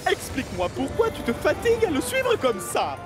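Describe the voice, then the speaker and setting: A man voicing a calm, helpful machine in a children's cartoon dub, measured and plain-spoken. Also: extremely high pitch, full character voice. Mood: puzzled. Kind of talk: animated children's series dub. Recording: music behind the voice.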